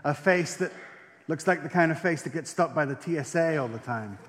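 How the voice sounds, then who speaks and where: A young man with an English accent recounts his personal story before an audience. His voice sits slightly high, and he keeps it light with wry, self-deprecating jokes and easy hesitations. Long reverb from a large hall trails his words. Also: brisk. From England and France. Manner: wry, self-deprecating humor, frequent ums. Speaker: speaker giving a testimony. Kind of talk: personal faith testimony to an audience.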